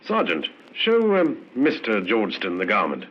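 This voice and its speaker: An actor playing a shrewd, unhurried middle-aged police inspector in an old radio drama, dry, probing and quietly in command. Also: male voice, lowish voice, wildly swooping pitch, breathy edge, heavily acted. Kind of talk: 1950s radio drama acting. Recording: muffled old radio recording.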